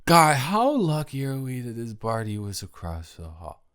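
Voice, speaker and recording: A man's voice: mid-range voice, huge pitch swings, normal speed, a bit bright, smooth. A young man playing a tipsy, goofy college student roommate, rambling and stammering as he talks directly to the listener in an improvised audio roleplay. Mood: chatty. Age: young adult. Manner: rambling, stammering drunken speech with giggles.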